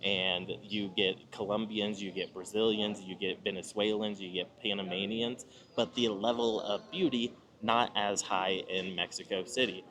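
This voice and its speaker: An American man from Nebraska hosting an informal advice monologue, talking in a measured, explanatory way with emphatic pauses. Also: lowish voice, steady tone, crowd noise. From Nebraska, United States. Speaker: video host giving travel advice.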